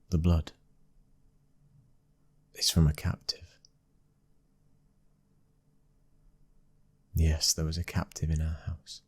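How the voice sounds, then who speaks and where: A British man playing a young mafia boss's son, a protective, controlling husband, in an intimate ASMR roleplay, with a husky, breathy voice and a commanding manner. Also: a bass voice, darkish tone, full character voice. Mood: tense, reassuring. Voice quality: husky, breathy, sometimes dropping to a whisper. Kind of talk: ASMR boyfriend roleplay audio drama.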